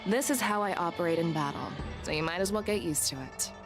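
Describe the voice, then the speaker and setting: A self-assured female warrior general from a video game, voiced by an actress. Her voice sits on the low side, with a commanding, blunt and nonchalant delivery. Music plays clearly behind her. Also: quick pace, natural voice. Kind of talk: scripted game voice acting.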